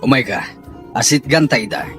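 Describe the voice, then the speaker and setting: A man's voice: medium pitch, normal speed, loud music behind the voice, lightly acted. A man acting as a stern, indignant father in an amateur audio drama, scolding and insistent. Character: stern, indignant father determined to bring his daughter home.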